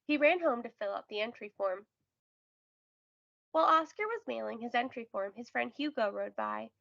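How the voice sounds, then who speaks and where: A woman narrating a children's storybook aloud with careful, expressive storytelling. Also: fairly high pitch, moderate pace, gravelly, no echo, highs cut off. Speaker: storybook reader.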